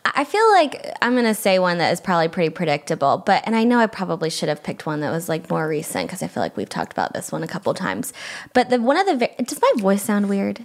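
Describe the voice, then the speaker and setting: A woman co-hosting a podcast in casual chat, chatty with many fillers, her voice a little raspy from a night guard. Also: lowish voice, very animated, fast talker. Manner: chatty, lots of fillers and laughs. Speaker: podcast co-host.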